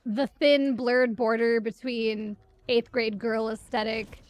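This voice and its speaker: A young woman chatting casually on a game stream, wry, animated and sweary, close on the mic. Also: a little high, slow. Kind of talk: casual live stream chat while gaming.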